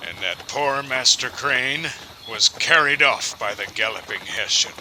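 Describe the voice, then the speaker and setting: A man playing a storyteller who recounts an old ghost legend in a theatrical, drawn-out audio drama narration. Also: mid-range voice, expressive, sharp tone, a little hiss or hum, rough recording. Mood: ominous, dramatic.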